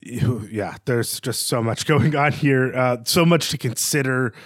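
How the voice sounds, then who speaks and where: A man's voice: medium pitch, measured pace, no room sound, clean studio sound. A man co-hosting a literary discussion podcast, speaking off the cuff in a thoughtful, hedging, analytical way. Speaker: podcast co-host.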